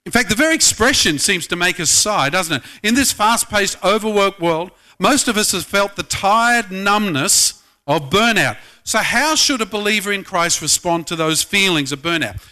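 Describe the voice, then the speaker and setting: Middle-aged male pastor, high bright voice, chatty sermon, keeps asking the room questions. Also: animated, normal speed. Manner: conversational, asks the congregation many questions.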